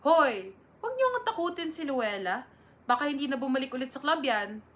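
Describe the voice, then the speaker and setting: A woman's voice: high-pitched, very animated, fairly quick, a bit bright. A Filipino woman playing a chatty, proud and bossy college instructor in her thirties in an amateur dramatic reading. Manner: chatty, officious. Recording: faint background noise.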